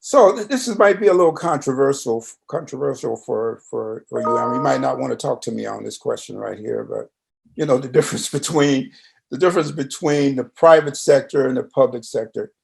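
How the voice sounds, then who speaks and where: A man's voice: mid-range voice, brisk, gravelly. An American man hosting a conversational radio interview, with a folksy, roundabout, questioning way of talking.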